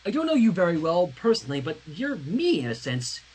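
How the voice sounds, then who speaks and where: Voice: mid-range voice, huge pitch swings, a little fast, a little mellow, husky, rough recording. A reader voicing a studious, earnest young pony princess in human form, lecturing in a stern and emphatic way for a fan story reading. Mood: remorseful.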